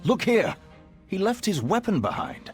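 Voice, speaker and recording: An actor playing an alarmed, haughty regent lord in a video game scene. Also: male voice, high-pitched, wildly swooping pitch, unhurried, breathy edge, exaggerated voice. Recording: music behind the voice.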